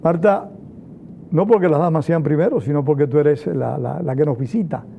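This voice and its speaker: A male TV host with a slightly high voice, introducing guests in a measured, formal manner. The sound is thin and tinny, like a phone line, with a faint hiss underneath. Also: vocal fry. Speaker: TV interview host. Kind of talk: formal TV interview.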